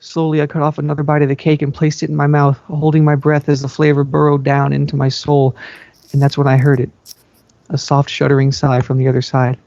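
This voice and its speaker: A man reads fan fiction aloud in an amateur dramatic style. His voice is fairly high for a male and gravelly, with little melodic movement. The recording is dull and roomy. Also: monotone, fairly quick, darkish tone. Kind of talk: amateur dramatic story reading.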